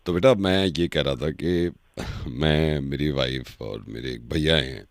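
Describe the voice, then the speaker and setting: Man playing a deep-voiced genial, halting uncle. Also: vocal fry, no room sound, exaggerated voice. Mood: genial, hesitant. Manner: slow, halting, chuckling, avuncular. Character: genial, hesitant middle-aged father seeking a match for daughter. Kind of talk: prank phone call.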